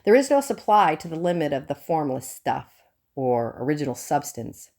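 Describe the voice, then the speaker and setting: Low, mellow woman reading an audiobook. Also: sing-song, normal speed. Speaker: audiobook reader. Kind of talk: scripted audiobook narration.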